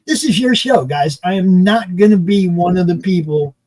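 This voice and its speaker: A man of about sixty who produces a live stream, joking loosely and talking in quick bursts. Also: high-pitched, a little mellow, no room sound. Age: 60s. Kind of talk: casual chat on a live stream.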